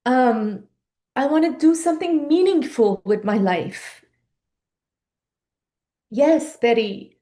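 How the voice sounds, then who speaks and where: A woman's voice: high voice, lively intonation, extremely slow, long pauses, warm tone. A woman, a coach giving a live online talk in an earnest, urging way full of rhetorical questions. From Iran. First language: Persian.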